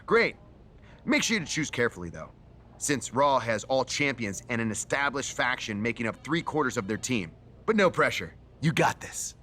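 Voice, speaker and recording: A man's voice: mid-range voice, big pitch swings, normal speed, pushed and bright. A man playing a glib, self-assured wrestling manager in a video game cutscene, persuasive and emphatic. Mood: smug, upbeat. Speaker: voice actor playing a wrestling show authority figure.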